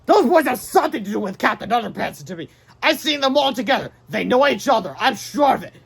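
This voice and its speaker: A man's voice: very high-pitched, expressive, fast talker, gravelly, a bit bright, husky, voice pushed up, exaggerated voice. A man voicing a menacing, gloating inventor villain in a robot suit for a storybook.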